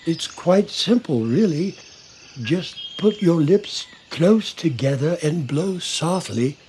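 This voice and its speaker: A man telling a bedtime story to help children fall asleep, speaking very slowly in a soft and soothing way. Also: on the high side, expressive, slight background noise. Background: soft music.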